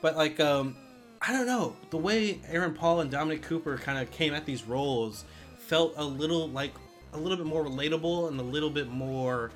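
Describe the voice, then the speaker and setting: A man's voice: a little high, moderate pace, faint music behind. A man co-hosting a podcast in casual film discussion, chatty and loosely profane. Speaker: podcast co-host.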